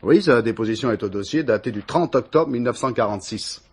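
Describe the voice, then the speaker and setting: A man's voice: mid-range voice, expressive, fast, husky. An actor playing a dutiful, dogged police detective reporting informally to his boss in a French radio crime drama. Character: dutiful male police detective, deferential yet dogged assistant.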